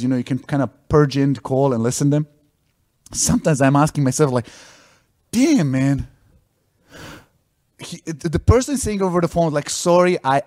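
Man, energetic talk. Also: mid-range voice. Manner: non-native phrasing, animated and enthusiastic. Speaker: business owner giving a talk. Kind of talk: informal business presentation.

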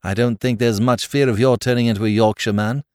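A man's voice: mid-range voice, fairly even intonation, a little fast, a little mellow, clear, resonant tone, no echo, studio quality, natural voice. A man doing a breezy aristocrat. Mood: airy. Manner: airy, casual. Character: breezy upper-class English male aristocrat.

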